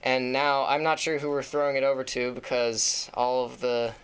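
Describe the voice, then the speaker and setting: A smooth, clear young man's voice delivering sports news on a school broadcast. He talks casually, pausing to correct himself as he goes. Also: medium pitch, flat delivery, a bit bright, rough recording. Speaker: student sports announcer.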